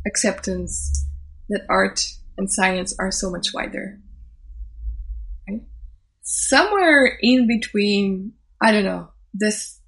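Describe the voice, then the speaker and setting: Woman, non-native speaker, thoughtful and halting. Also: on the high side, a bit bright, poor audio. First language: Portuguese. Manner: thoughtful, halting, restarts phrases while searching for words. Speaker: event organizer and social scientist. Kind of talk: interview conversation.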